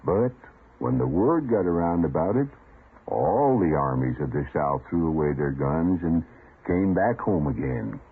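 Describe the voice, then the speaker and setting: A man acting a folksy, rambling history storyteller in an old radio monologue, husky, conversational and hesitant, with a rural American accent. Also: extremely low pitch, sing-song, measured pace, heavily acted. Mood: folksy musing. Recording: thin, phone-like old radio recording.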